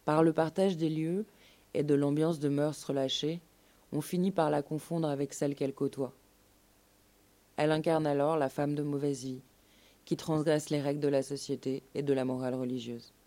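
A woman's voice: low voice, steady tone, normal speed, mellow. A woman narrating a scripted documentary story as part of a live radio show. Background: music.

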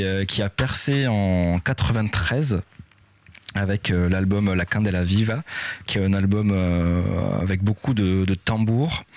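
Low-voiced man presenting music from notes. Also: no room sound. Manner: halting, many fillers, reads from notes. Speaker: radio music show co-host. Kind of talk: casual presentation reading from notes.

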